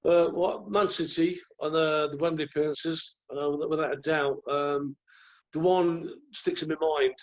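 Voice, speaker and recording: A croaky-voiced man, telling rambling stories as a podcast guest. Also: a little high, fairly even intonation, unhurried, dull audio. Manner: rambling, restarts often. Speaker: guest, former goalkeeper. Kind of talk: relaxed anecdotal chat.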